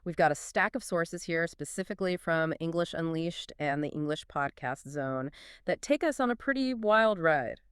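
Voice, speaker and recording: Woman co-host, low-ish smooth voice, very clean and dry sound, eager lead. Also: lively intonation, moderate pace, no room sound. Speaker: podcast co-host. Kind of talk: scripted podcast conversation.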